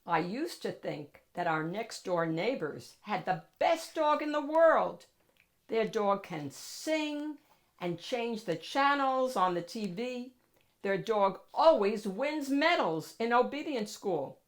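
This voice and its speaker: A woman reads a children's picture book aloud as host, with expressive, dramatic emphasis. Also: a little high, slow pace. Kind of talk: animated storybook narration for children.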